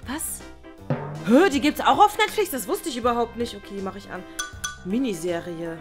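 A slangy teenage slacker girl played by a young woman in an online comedy sketch. Her voice is fairly high and full of exaggerated exclamations. Music plays behind her. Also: animated, moderate pace, lightly acted. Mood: casual, bored. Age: young adult. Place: living room, on a sofa.

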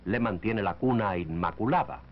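A man reading scripted narration for a 1940s educational film, in a measured, didactic way. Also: fairly low pitch, a little hiss or hum. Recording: dull lo-fi 1940s film soundtrack.

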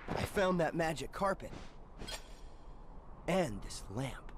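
Game hero: easygoing young adventurer, slightly high voice, animated and sincere, clear noise behind. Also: male voice, takes it slowly. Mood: casual. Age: young adult. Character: earnest, easygoing young street-boy adventurer.

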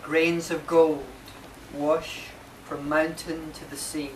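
A man in his forties reads his poetry aloud, unhurried and phrase by phrase. He is heard from a distance in an echoey space, with clear background noise. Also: a little high, level tone. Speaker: poet reading own work. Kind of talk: live poetry reading, short measured phrases. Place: marquee with a small seated audience. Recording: distant, echoey, noisy live recording.

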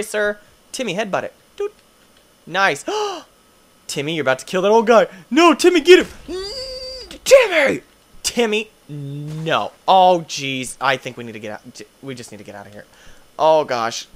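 A young man doing goofy gameplay commentary, tired, his voice deeper late at night, swinging between low mumbles and excited yelps. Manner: goofy, playful, jumps between mumbling and yelping. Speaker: gaming youtuber.

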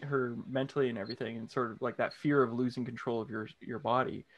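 A man's voice: medium pitch, fairly even intonation, muffled recording. Man, thirties, show host, friendly, mid-range voice, studio condenser mic at home. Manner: friendly, halting questions with restarts. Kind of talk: interview host, scripted intro then casual questions. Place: home room, indoors. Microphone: large studio condenser mic with headphones.

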